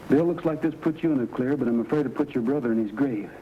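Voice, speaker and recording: Man acting a steady cowboy hero, muffled noisy old recording. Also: mid-range voice, fast, lightly acted. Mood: grave, serious. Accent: American. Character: calm, authoritative young cowboy rancher hero. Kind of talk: old western film dialogue acting. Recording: dull, noisy vintage western soundtrack.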